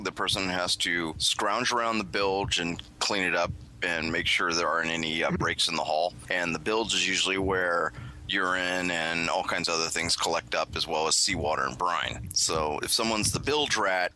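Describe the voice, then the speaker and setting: Bright, forceful low-voiced man chatting. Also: steady tone, moderate pace, a little hiss or hum, rough recording. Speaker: podcast panelist. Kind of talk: casual panel chat.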